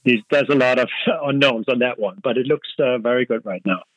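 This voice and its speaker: A man's voice: medium pitch, brisk, fairly bright tone, no echo, low-quality recording. A man from Denmark with a Danish accent, talking through his answers as a podcast guest, with plenty of fillers and restarts. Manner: hesitant, thinks aloud with many fillers and restarts. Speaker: guest, marketing director. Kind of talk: casual podcast interview.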